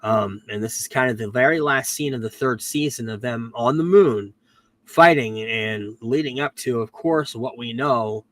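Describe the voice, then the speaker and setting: A man's voice: medium pitch, moderate pace, fairly bright tone, no room sound. A man talking casually as a podcast host, rambling through a plot recap with lots of ums and self-corrections, getting over a cold and losing his voice. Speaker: podcast host reviewing a TV series.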